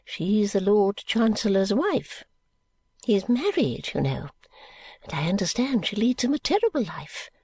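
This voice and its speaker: A fluttery, eccentric elderly lady, voiced by a woman reading a novel aloud. She confides in a slow, breathy half-whisper as if sharing secrets, her pitch swooping up and down. The sound is close and dry. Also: sing-song, darkish tone, no room sound, heavily acted. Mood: confiding.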